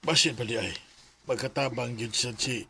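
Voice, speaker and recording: An actor playing a middle-aged, weary shopkeeper father who speaks gently and hesitantly to his son in a radio drama. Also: medium pitch, moderate pace, vocal fry, a little hiss or hum. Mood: gentle.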